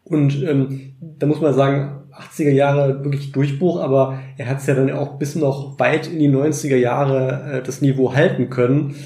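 A man's voice: mid-range voice, fairly even intonation, moderate pace, mellow. A man co-hosting a podcast, discussing film conversationally in hesitant phrases, recorded with roomy echo. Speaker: podcast host. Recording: roomy, echoing sound.

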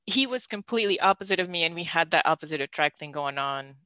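A woman's voice: lowish voice, animated, fast talker, bright, forceful tone, no room sound. A woman from Belgium hosting a podcast and talking candidly about her love life, laughing often and restarting her sentences. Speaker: podcast host. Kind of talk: candid personal conversation.

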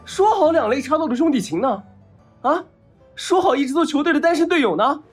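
An actor playing a spoiled, dramatic, lovelorn heir of about thirty in a modern audio drama, with a whiny, theatrical delivery. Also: male voice, extremely high pitch, expressive, brisk, soft background music. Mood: indignant teasing.

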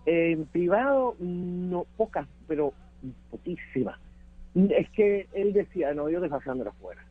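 A man's voice: high-pitched, very animated, measured pace, slightly rough, phone-quality sound. A man with a Cuban accent reminiscing as an interview guest, emphatic and repeating words for effect. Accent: Cuban Spanish. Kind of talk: reminiscing conversation.

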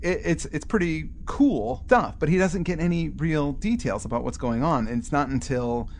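A man's voice: on the high side, moderate pace, a little hiss or hum. A man co-hosting a podcast in a casual, chatty literary discussion. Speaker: podcast co-host.

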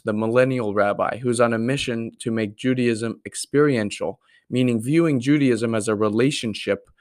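A man's voice: medium pitch, dry recording, clean studio sound. A man hosting a podcast, speaking earnestly and reflectively, with many fillers when he puts questions to a guest. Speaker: podcast host. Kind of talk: podcast intro and interview.

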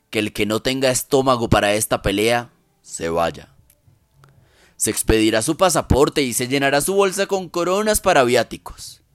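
Warrior king rallying troops , played by a man: solemn Shakespearean declamation, unhurried and weighty, dry recording. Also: mid-range voice, smooth, dry recording. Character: stirring warrior king rallying his outnumbered army. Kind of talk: dramatic Shakespeare monologue reading in Spanish.

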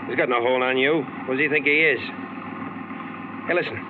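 An actor playing a breezy, persuasive truck driver in a 1940s radio drama, slangy and easygoing. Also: male voice, mid-range voice, fairly quick, lightly acted. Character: smooth-talking male truck driver, Hal. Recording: tinny old radio, clear background hiss.

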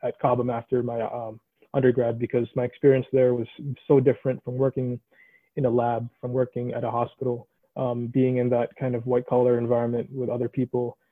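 A man's voice: medium pitch, monotone, a little fast, dry recording. A man in his late twenties telling students about his career path on a video call, thinking aloud with many restarts. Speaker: alumni mentor in consulting. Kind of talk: casual advice on a video call. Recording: tinny phone-like line, dry.

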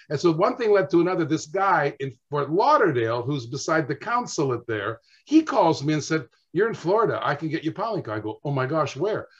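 A man telling lively stories as a guest on a video call, acting out the dialogue as he goes. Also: fairly high pitch, slightly rough, dull audio. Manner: animated storyteller, acts out dialogue. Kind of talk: casual storytelling chat.

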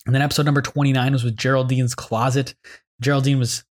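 Young man from Canada, podcast host, rambling eager storyteller, mid-pitched voice. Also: flat delivery, fast talker. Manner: rambling, enthusiastic storytelling with many fillers. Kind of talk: casual reflective monologue.

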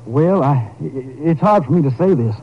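An actor playing a folksy, hesitant rancher with colloquial country speech in a 1950s radio drama. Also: male voice, a little high, animated, moderate pace, slightly rough. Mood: sheepish, confessing. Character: folksy rancher, hesitant friend covering for someone. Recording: dull 1950s radio recording.

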